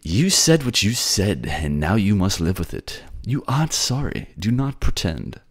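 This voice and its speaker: A man's voice: fairly low pitch, big pitch swings, a little slow, slightly rough, voice pushed up, heavily acted. A man voicing a protective, affectionate prince in an audio romance drama, with theatrical, courtly phrasing and a put-on accent. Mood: reproachful, firm. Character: protective, tender prince soothing his beloved, scolding rivals.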